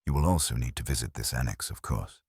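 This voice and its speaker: A man's voice: extremely low pitch, fast, darkish tone, slightly rough, no echo, clean studio sound, voice pushed down. A man voicing an imperious, pedantic detective in a mystery audiobook, speaking in terse, formal, pompous phrases. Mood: imperious, dry. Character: imperious, pedantic, heavyset middle-aged male genius detective.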